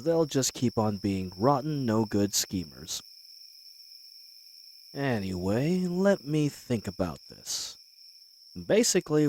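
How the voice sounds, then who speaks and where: A cocky bandit leader, acted by a man, talks in a folksy, wry way with lively swings of pitch. He is wary and scheming, speaking straight to his accomplice in a fantasy audio drama. Crickets and night insects sound faintly behind him. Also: slight background noise. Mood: defiant, resolute. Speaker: voice actor in a scripted roleplay audio. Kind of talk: scripted fantasy audio roleplay, addressing the listener.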